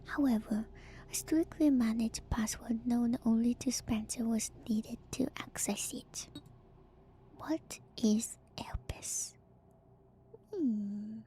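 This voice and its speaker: A woman streamer whispering softly and breathily close to the mic in an ASMR style, gently repeating words as she reads and comments. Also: on the high side, takes it slowly, a little hiss or hum. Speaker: VTuber streamer.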